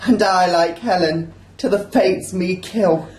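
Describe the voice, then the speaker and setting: A woman's voice: fairly low pitch, takes it slowly, a little mellow. A woman playing a lovelorn young maiden in an amateur Shakespeare radio play, with theatrical declaiming. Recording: roomy, echoing amateur recording.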